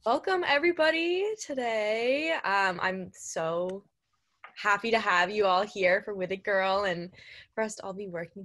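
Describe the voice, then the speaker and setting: A woman's voice: mid-range voice, a bit bright. A woman hosting a podcast, interviewing guests in a casual, chatty style. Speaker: podcast host.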